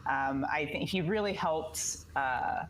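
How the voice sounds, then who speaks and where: Low-pitched woman in an interview. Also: takes it slowly, slight background noise. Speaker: voice director and voice actor interviewee. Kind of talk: casual interview answers.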